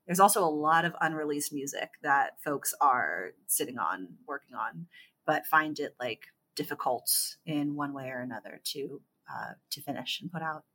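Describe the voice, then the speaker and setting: Low-voiced, bright-toned woman guest. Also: expressive, rough recording. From California, USA. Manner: halting, many fillers and restarts, thoughtful. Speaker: author guest. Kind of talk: podcast interview conversation.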